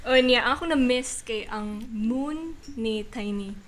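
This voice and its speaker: Female astrology reader, Filipino accent, higher pitch, hesitant and giggly explanatory chat. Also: a loud crowd. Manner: halting, giggly. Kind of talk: casual explaining, code-switching.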